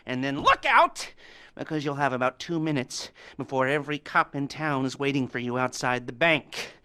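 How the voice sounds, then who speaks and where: A man's voice: bright, forceful tone, clear, resonant tone, dry recording. An actor playing a jittery, heavily medicated male heist planner in a video game, rambling and laughing nervously. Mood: briefing. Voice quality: nervous, rambling, giggly, manic swings in pitch. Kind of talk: video game cutscene acting.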